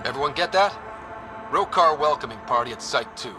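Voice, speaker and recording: Game squad leader: male actor as decisive young space explorer, brisk orders, animated pitch, music underneath. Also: natural voice. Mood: determined. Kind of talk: video game voice acting. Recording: music behind the voice.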